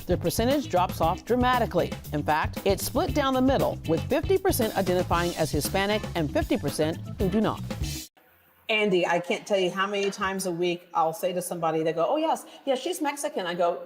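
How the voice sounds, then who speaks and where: A middle-aged woman hosting a TV panel discussion, conversational and quick to laugh. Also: mid-range voice. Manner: conversational, laughs easily, reads statistics. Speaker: TV talk show host.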